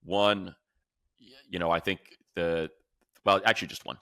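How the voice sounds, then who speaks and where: Deep, gravelly male sports talker. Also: fairly even intonation, moderate pace, fairly bright tone, no room sound. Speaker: sports show host. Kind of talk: casual sports talk and commentary.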